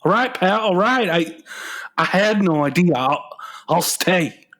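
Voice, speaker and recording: Man acting slow-talking, self-pitying grown son. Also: high-pitched, animated, fairly bright tone. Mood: shaken, earnest. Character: whiny, sulky adult son, struggling musician and would-be country singer. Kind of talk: comedic radio play acting.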